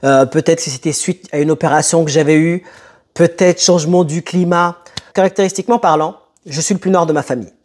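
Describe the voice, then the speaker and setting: A young man who grew up in France telling a personal story to camera in a conversational, emphatic way with dramatic pauses. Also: fairly high pitch, a little mellow, a little hiss or hum. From born in Italy, moved to France as a child. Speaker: motivational video host.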